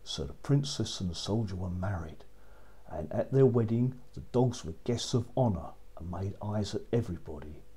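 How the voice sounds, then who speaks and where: A man narrating a children's story as a storyteller, with expressive, dramatic delivery. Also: lowish voice, moderate pace, slightly rough.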